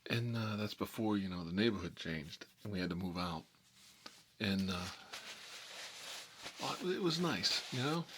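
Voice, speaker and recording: A man's voice: on the low side, fairly bright tone. A man playing a hot-headed, sentimental mob boss in an improvised comic monologue, talking in a rambling, repetitive, colloquial way.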